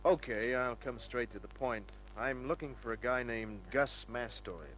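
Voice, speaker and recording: An actor playing a cocky, wisecracking private detective who narrates his own case in a dry, smooth-talking way, in an old-time radio drama. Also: medium pitch, normal speed, fairly bright tone, slight background noise, close to an everyday voice, poor audio. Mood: casual, insistent. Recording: old radio recording with music.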